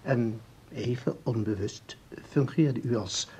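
An actor playing a calm researcher in a Dutch radio play, explaining formally and deliberately with small hesitations. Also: male voice, mid-range voice, a little hiss or hum. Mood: patient, explaining. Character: calm, explaining male researcher of time anomalies.

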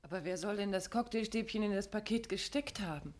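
An actress playing a detective's attentive, cautious wife in a 1950s German radio play, with an earnest, questioning manner. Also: female voice, medium pitch, fairly quick, lightly acted. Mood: puzzled.

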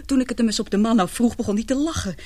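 A young woman playing an anguished daughter in love in a radio drama, confiding in halting, earnest, emotional speech. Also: on the high side, a little mellow, natural voice. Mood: worried, confiding. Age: young adult.

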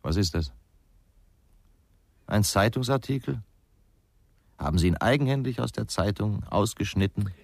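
An actor playing a gruff, cynical ex-policeman in a radio crime drama, with a sardonic, dismissive manner. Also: fairly low pitch, lively intonation, measured pace, long pauses, exaggerated voice. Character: gruff, cynical male ex-policeman with a drinking past. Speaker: ex-police detective in a crime series.

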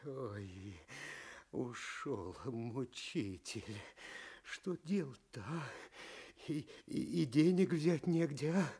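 An actor playing a hapless, weary poor farmhand in a fairy-tale audio play, stammering, sighing and plaintive. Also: male voice, mid-range voice, extremely slow, mellow, slightly rough, full character voice. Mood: dismayed.

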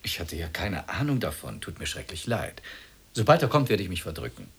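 Male visitor, radio drama; very breathy-rough, lowish voice, puzzled innocence with light irony. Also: huge pitch swings, brisk, fairly bright tone, exaggerated voice. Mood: breezy, surprised. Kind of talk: German radio drama acting.

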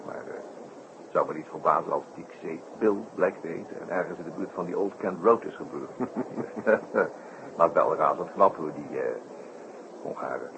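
A man playing a composed, wryly amused investigator in a 1960s radio drama, musing in an unhurried way. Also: low voice, gravelly. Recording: very noisy 1960s radio recording.

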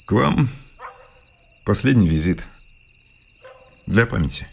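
An actor playing a wistful, self-mocking schoolteacher in a radio play, speaking with hesitant irony and melancholy. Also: male voice, on the low side, animated, slow pace, vocal fry, slight background noise, exaggerated voice. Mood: wistful, probing. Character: wistful, self-mocking city schoolteacher saying farewell before leaving. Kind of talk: Russian radio play acting. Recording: music behind the voice.

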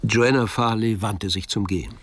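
Low, creaky male story narrator. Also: moderate pace. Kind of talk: scripted radio play narration.

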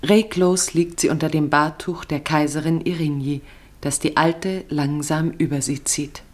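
A woman with a very low-pitched voice narrates a radio drama. She reads literary prose in a solemn style. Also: fairly even intonation, measured pace.

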